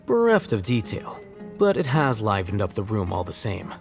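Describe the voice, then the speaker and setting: A man's voice: on the low side, huge pitch swings, normal speed, vocal fry, soft background music, heavily acted. A man voicing an aloof, intellectual young scholar in a video game, dry and precise in his phrasing. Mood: dry, unhurried.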